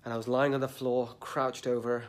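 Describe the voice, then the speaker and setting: A man with a mid-range voice and a slightly blocked nose delivers a reflective motivational monologue. He speaks earnestly and deliberately, leaning on key words. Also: mid-range voice, little pitch movement. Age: adult, a parent. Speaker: motivational podcast host. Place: sitting indoors at dawn.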